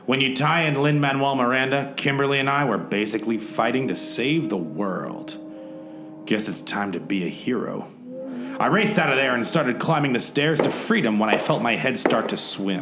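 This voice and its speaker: A man's voice: mid-range voice, normal speed, natural voice. A man playing a self-styled hard-boiled hero who narrates a parody radio play with melodramatic swagger. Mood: dramatic narration. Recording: echoey room sound. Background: music.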